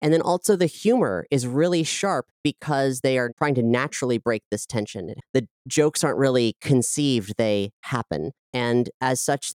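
A woman's voice: very deep voice, darkish tone, no echo, clean studio sound. A woman giving a thoughtful interview as a guest, explaining creative work in a reflective, articulate way.

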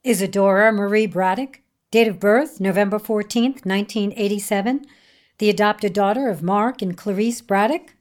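A woman's voice: mid-range voice, normal speed, lightly acted. A woman playing a formal, businesslike private investigator in an audio horror drama. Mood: brisk, official. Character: brisk, formal female private investigator delivering documents.

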